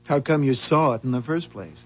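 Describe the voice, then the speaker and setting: An actor playing a wary but amiable suburban husband in a 1970s radio drama, hesitant and drily skeptical. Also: male voice, medium pitch, lively intonation, fairly quick, fairly bright tone. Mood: wary, bemused. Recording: dull vintage radio recording.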